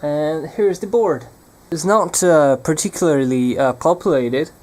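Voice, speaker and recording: A man's voice: a little high, measured pace, darkish tone. A young man presenting an unscripted hands-on electronics demonstration, speaking hesitantly and searching for his words.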